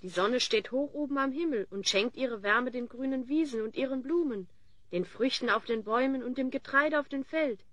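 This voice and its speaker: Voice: sits high, moderate pace, a bit bright, dry recording. An actor playing a fifteen-year-old boy hero in a fantasy audio drama, earnest, sensible and theatrical.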